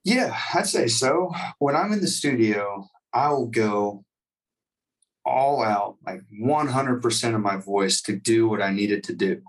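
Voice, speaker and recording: A man's voice: lowish voice, animated, a little slow, far from the mic, low-quality recording. A man, a touring singer, talking casually in an interview with many fillers and restarts. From United States.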